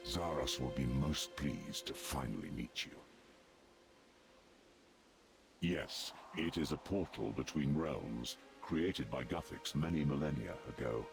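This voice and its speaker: An actor playing a solemn, devout ancient servant of a dark god in a fantasy video game, speaking formally and gravely. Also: male voice, very animated, full character voice. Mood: grave, explaining. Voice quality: very deep, gravelly. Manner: formal, grave, measured and declarative. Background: music.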